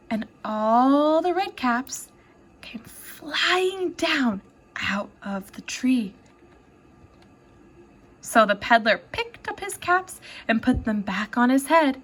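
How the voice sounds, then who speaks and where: A woman reading a children's storybook aloud like a teacher, with expressive, deliberate delivery. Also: high-pitched. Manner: expressive, deliberate, pausing to ask children questions.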